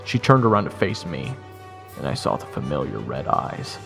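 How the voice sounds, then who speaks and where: A man's voice: rumbling low, big pitch swings, normal speed, gravelly, fairly bright tone, faint music behind, exaggerated voice. A man playing a wry, sarcastic adventurer who narrates his own fantasy tale in a conversational way. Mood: wry, amazed. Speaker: narrator and protagonist. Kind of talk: first-person dramatic narration.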